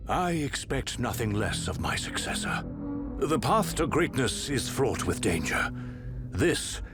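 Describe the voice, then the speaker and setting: An actor playing a measured, decisive older emperor with a regal, deliberate delivery in a formal fantasy game drama. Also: male voice, mid-range voice, very animated. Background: loud background music.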